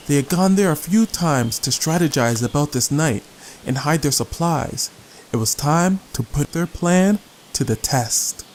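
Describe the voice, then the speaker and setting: A man's voice: medium pitch, a little mellow, slight background noise. A man narrating a scripted audiobook story as the narrator. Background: guitar music.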